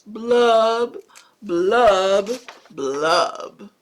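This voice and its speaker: A woman's voice: medium pitch, animated, extremely slow. A woman voicing a gloomy male fish who chants his mopey refrain in a sing-song way. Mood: glum, sing-song.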